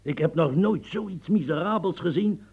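An elderly marquis with a creaky, rough-edged voice in a radio play. He rambles and grumbles like an old campaigner. His sentences stammer, repeat and drift off into musing. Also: high-pitched, expressive, moderate pace, phone-quality sound, exaggerated voice. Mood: irritable, mocking. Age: older. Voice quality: gravelly, husky. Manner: stammering, repetitive, musing, earthy, prods with "huh". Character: rambling, grumbling old French marquis, retired military officer.

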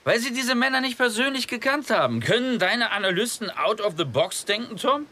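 A challenging male comrade questions his team in a radio thriller. His voice is high and forceful, swinging animatedly, and his talk is colloquial. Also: animated, fairly quick, heavily acted. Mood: probing, challenging. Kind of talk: conversational radio drama acting.

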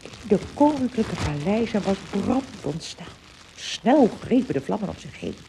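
A woman with a husky voice narrating an adventure radio play as scripted storybook narration. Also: medium pitch, sing-song, moderate pace, mellow, slight background noise, rough recording.

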